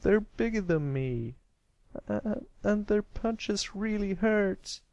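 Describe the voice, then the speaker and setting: Man voicing a stammering, tearful little colt, high-pitched. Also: animated, slow pace, darkish tone, no room sound, highs cut off. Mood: tearful, timid. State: crying. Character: tiny bullied colt pony, timid and tearful.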